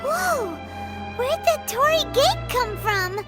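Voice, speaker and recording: A tiny, chatty fairy companion, her voice squeaking very high and bouncing all over, words drawn out slowly. She exclaims and gasps at every surprise. Game music swells loudly behind her. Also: sing-song, full character voice. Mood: amazed. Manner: refers to herself in third person, startled exclamations. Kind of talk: video game voice acting.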